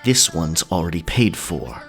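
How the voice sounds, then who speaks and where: Guy doing a gruff protective pirate drama tough, really low voice, snarly and scornful. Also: moderate pace, a bit bright, faint music behind. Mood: angry, defiant. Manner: growling, snarling, contemptuous. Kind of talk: audio drama acting.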